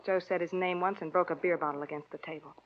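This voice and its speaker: An actress playing a grieving, quietly resigned woman in old radio crime drama style, with a subdued, halting delivery. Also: fairly low pitch, steady tone, close to an everyday voice. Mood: numb, grieving. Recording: dull, noisy old radio recording.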